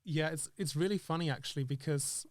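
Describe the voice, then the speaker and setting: A man's voice: a little high, fairly quick, dry recording, clean studio sound. A man speaking as an interview guest in casual, reflective chat, earnestly thinking aloud in broken phrases. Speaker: interview guest, actor and screenwriter.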